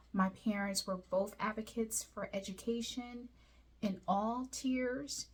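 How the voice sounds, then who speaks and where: A woman from Liberia who was raised in the United States, sharing a personal story with viewers in an earnest, deliberate, articulate way, with a husky, breathy voice. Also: medium pitch. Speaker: host of a personal video series.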